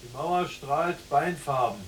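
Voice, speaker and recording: An actor playing a restless, watchful young prisoner in a literary radio play, in clipped, deliberate phrases. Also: male voice, fairly high pitch, a little hiss or hum, low-quality recording. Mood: watchful, measured.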